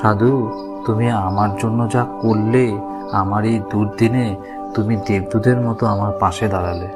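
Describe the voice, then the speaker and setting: A man's voice: on the low side, fairly even intonation, measured pace, over loud music, close to an everyday voice. A man voicing a humble, earnest village fruit seller in a moral-story cartoon. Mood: grateful.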